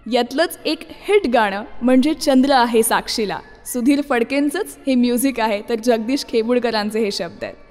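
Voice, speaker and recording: Woman, radio host, high voice, conversational scripted presenting, long reverb. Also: moderate pace, hardly any pauses. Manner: expressive, addresses listeners conversationally. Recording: long reverb, music behind. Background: music.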